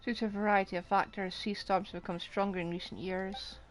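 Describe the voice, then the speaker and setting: A woman's voice: medium pitch, little pitch movement, brisk. A woman streaming a game, giving casual off-the-cuff commentary as she plays. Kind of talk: casual live gameplay commentary.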